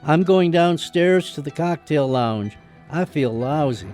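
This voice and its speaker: A reluctant, glum son acted by a man in a radio play. His voice is fairly high for a man and very mellow, with a hesitant manner. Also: animated, measured pace, soft background music. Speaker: amateur radio drama actor. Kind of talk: radio drama acting.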